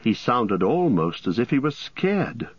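A man's voice: medium pitch, sing-song, unhurried, husky, dry recording, lo-fi sound. A man voicing a curious young male hobbit who presses his friends for answers, in a storybook reading. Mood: eager. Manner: pressing, questioning.

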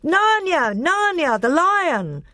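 A woman voicing an excitable, anxious boy knight in a storybook reading. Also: mid-range voice, moderate pace, dry recording, studio quality, natural voice. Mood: rallying cry. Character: Edmund, excitable anxious boy knight cheering his brother.

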